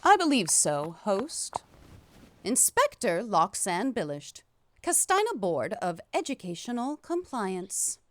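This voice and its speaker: A woman voicing a fussy, officious, easily scandalized female inspector in an animated comic dub. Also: medium pitch, takes it slowly, dry recording, clean studio sound, heavily acted. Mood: officious, condescending. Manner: officious, pedantic, flustered outbursts. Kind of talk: animated fandub voice acting.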